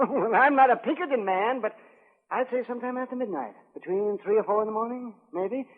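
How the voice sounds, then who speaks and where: A man's voice: very high-pitched, fairly quick, breathy edge. A man playing a fussy, crotchety old American town doctor in a radio western, wordy in his answers. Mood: fussy, wry. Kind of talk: 1950s radio western acting. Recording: muffled vintage radio broadcast.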